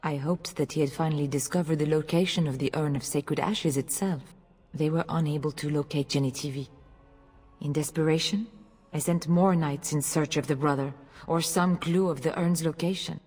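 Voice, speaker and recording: A woman's voice: low-pitched, steady tone, normal speed, a little mellow, breathy edge. A woman playing an anxious noble lady, a lord's wife, speaking formally in a fantasy video game. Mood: worried, earnest. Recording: hall reverb, music behind.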